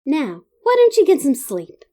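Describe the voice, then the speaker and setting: A woman's voice: sits high, wildly swooping pitch, normal speed, dark tone. A woman voicing a friendly, easily startled cartoon pony nurse in an audio story, sweet and fussing. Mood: kindly. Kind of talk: dramatic fan fiction audio reading.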